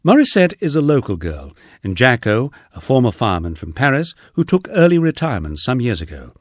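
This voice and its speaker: A man with a husky voice reading a wry first-person memoir as scripted audiobook narration, dryly humorous and wordy. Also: mid-range voice, very animated, fairly quick, no room sound.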